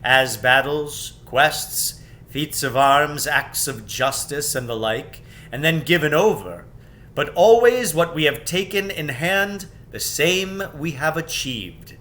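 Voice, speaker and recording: A man's voice: expressive, takes it slowly, pushed and bright, heavily acted. A man voicing a solemn, courtly young king in a storybook fantasy, speaking in formal, archaic, declamatory phrases. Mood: proud, resolute. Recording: background noise with low rumble.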